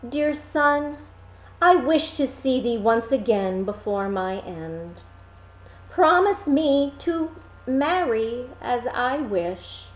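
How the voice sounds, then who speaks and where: A woman's voice: high-pitched, lively intonation, slow pace, reverberant, rough recording. A woman voicing a fond, solemn old father in a fairy tale, speaking formally.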